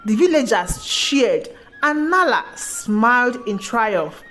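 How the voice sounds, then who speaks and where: A woman's voice: fairly high pitch, big pitch swings, takes it slowly, a bit bright, soft background music. A woman narrating a scripted folktale as a storyteller.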